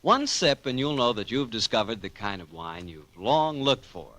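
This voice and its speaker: A man's voice: medium pitch, sing-song, normal speed, fairly bright tone, no echo. A man announcing in 1940s radio style, with folksy, enthusiastic salesmanship. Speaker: announcer and sponsor spokesman.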